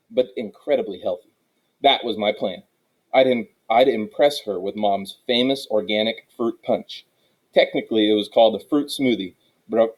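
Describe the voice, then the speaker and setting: A male storyteller reads a children's chapter book aloud in a medium-pitched voice. His delivery is expressive, shaped for young listeners following a narrative. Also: poor audio.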